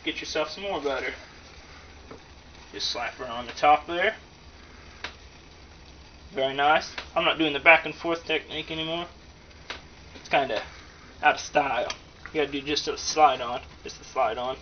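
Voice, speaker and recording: Guy kinda far from the camera doing a goofy homemade cooking how-to, slangy and rambling, loud noise behind him. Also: on the high side, normal speed, pauses often. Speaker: host of amateur comedic cooking show. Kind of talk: casual joking how-to demonstration. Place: home kitchen, indoors. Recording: distant, dull lo-fi, loud background noise.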